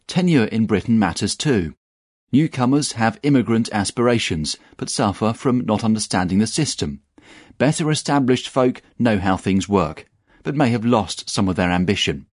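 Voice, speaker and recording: Low husky man reading the news. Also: no echo, clean studio sound. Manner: measured, even reading. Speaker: narrator reading a news article. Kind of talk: scripted narration.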